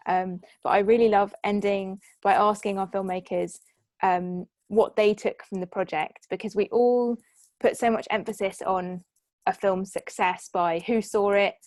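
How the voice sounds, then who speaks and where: A woman speaks as the host of a casual panel discussion. Her voice sits at an average pitch, and the sound is dry with very little room in it. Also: darkish tone, dry recording. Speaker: host, film producer moderating a Q&A. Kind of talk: casual online panel hosting.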